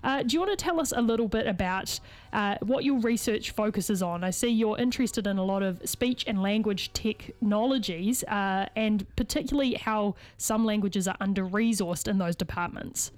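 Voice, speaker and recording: A woman's voice: mid-range voice, normal speed, a little mellow. A woman, a radio host who interviews a guest in a chatty, affirming way, with frequent fillers.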